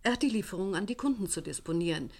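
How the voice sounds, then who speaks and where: A woman's voice: medium pitch, lively intonation, rapid-fire. Correct, loyal office bookkeeper, mid-range female voice, 1970s radio mystery. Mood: discreet, matter-of-fact. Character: proper, efficient female chief bookkeeper, discreet and loyal. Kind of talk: 1970s German radio crime drama acting.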